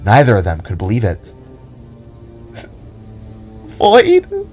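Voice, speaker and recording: A man's voice: fairly low pitch, normal speed, a little mellow, soft background music. A man reading scripted, dramatic story narration as the narrator of an audio drama.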